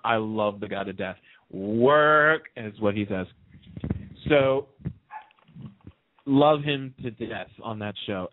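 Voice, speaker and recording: A man's voice: medium pitch, no echo. A man calling in to a radio show, chatting casually and emphatically as an opinionated fan, repeating phrases to drive his points home. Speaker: call-in guest on a radio show. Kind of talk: casual soap opera fan discussion. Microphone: phone. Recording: muffled, band-limited phone call.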